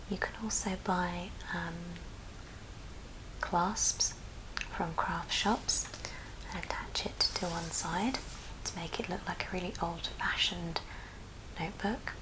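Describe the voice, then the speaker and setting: A woman whispering throughout as she gives an ASMR craft tutorial. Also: on the low side. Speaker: ASMR craft video host. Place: at a friend's house, indoors. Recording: noticeable background noise and clicks.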